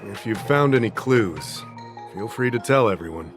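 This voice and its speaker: A man voicing a self-assured official in a video game, speaking formally and deliberately as he lays out accusations. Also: fairly low pitch, expressive, lightly acted. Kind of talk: scripted video game dialogue acting. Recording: music behind the voice.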